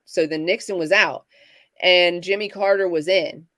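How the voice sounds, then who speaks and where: Halting woman co-hosting a livestream. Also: lowish voice, steady tone, a bit bright, dry recording, studio quality. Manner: halting, many fillers and restarts. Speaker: podcast co-host. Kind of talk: casual livestream discussion.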